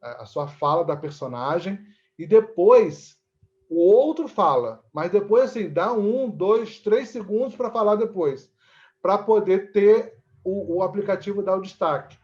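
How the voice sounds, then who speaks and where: A man's voice: high voice, animated, unhurried, darkish tone. A Brazilian man giving conversational, explanatory interview answers, often checking for agreement with short tag questions. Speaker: interviewee, actor and theatre teacher. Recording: dull, lo-fi audio.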